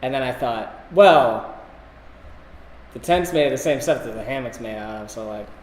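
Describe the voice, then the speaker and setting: A man co-hosting a casual podcast chat, talking in a halting way and often interrupting himself. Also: mid-range voice, a little mellow. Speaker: podcast co-host. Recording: roomy, long echo, background hiss.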